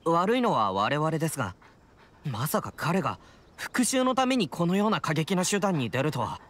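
An actor playing an earnest young samurai guard in an anime game, reporting in a polite official tone. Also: male voice, fairly high pitch, big pitch swings, normal speed, a bit bright. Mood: earnest, aggrieved.

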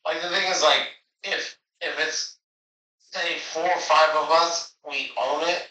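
A man's voice: fairly high pitch, fairly even intonation, a little slow, bright, forceful tone. A man chatting casually over a phone call that is heard through a speaker in a room. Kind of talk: casual chat. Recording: distant echoey speakerphone call.

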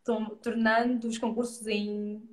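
A woman hosts a live online chat in a fairly high voice. She often hesitates, fills pauses with hums and breaks in to agree. Her call audio sounds roomy. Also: level tone. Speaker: host of a live show. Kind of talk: casual live video-call interview. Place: indoors, plain magenta wall. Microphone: video call from a laptop.

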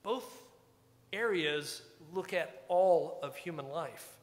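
A man's voice: on the high side, takes it slowly. A man, preaching a sermon from a pulpit in a church sanctuary in a conversational, wry and reflective way. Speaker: pastor. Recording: long church reverb.

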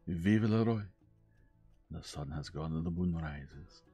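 A man's voice: sits low, slow pace, mellow, slightly rough, soft background music, full character voice. A man voicing a vain, precise middle-aged detective with a French accent who slips in French phrases. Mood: wry.

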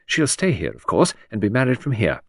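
A man's voice: lowish voice, huge pitch swings, a little fast, slightly rough, no room sound, studio quality, pitched above the performer's own voice, heavily acted. A man voicing a vague, rambling aristocratic older woman in an audiobook, with breathless, trilling delivery. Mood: chattering. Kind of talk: audiobook character voice.

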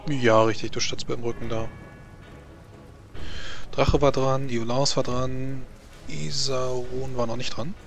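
A man running a tabletop role-playing game as game master, narrating combat and ruling, with halting pauses. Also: mid-range voice, level tone, creaky. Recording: music behind the voice. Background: background music.

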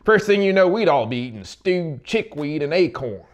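A man's voice: a little high, big pitch swings, normal speed, pitched above the performer's own voice. A man voicing a stubborn, petulant old small-town man in an audiobook, grumbling with folksy scorn. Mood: scornful. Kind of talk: dramatic audiobook reading.

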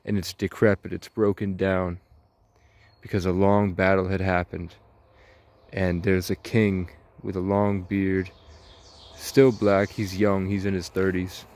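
A man in his twenties giving a slow, rambling monologue in short, halting phrases while walking outdoors. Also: deep voice, level tone, darkish tone. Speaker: vlogger. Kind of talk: slow rambling monologue, ASMR-style walk.

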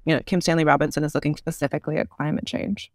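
A woman's voice: sits low, little pitch movement, rapid-fire, dry recording, studio quality. A woman speaking as an interview guest, explaining ideas thoughtfully and repeating for emphasis. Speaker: podcast guest, futurist author.

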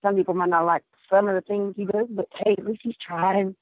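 Hoarse woman caller on a tinny phone line. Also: medium pitch, steady tone, a little fast, no echo. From Texas. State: nearly lost voice. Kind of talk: phone call-in chat.

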